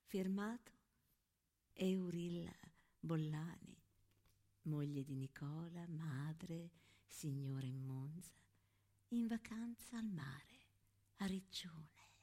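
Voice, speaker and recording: A woman's voice: sits low, slow, long pauses, mellow, pitched below the performer's own voice. A woman playing an airy, self-absorbed married lady in a chatty, sighing dramatic monologue. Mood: contented, fussing. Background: music.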